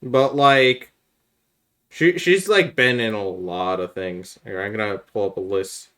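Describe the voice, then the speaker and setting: A man's voice: on the low side, measured pace, bright, forceful tone. A man co-hosting a podcast in casual chat, talking in an informal, slangy way. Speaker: podcast host.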